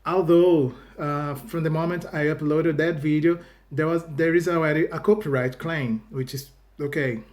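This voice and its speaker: A man with a Brazilian accent chats casually to his channel in English, a second language after his native Portuguese. His voice sits a bit high for a man. He talks in stops and starts, often repeating words before he finishes a thought. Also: steady tone, a little mellow. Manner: halting, restarts phrases, fills with "you know". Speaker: YouTube reaction host. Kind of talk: casual video chat to viewers.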